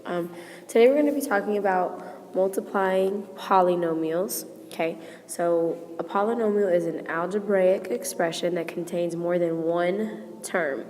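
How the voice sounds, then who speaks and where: A woman teacher with a hoarse, failing voice, patiently walking students through a math lesson step by step and checking in with "okay?". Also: lowish voice, unhurried, darkish tone, big-room sound. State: sick, losing her voice. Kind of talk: recorded classroom lesson.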